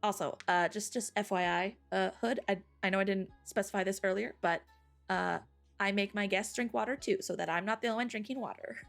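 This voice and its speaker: A woman hosting a live stream in casual chat, bubbly and quick to laugh, still waking up. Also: medium pitch, vocal fry, soft background music. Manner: giggly, bubbly, laughs often. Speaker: streamer host.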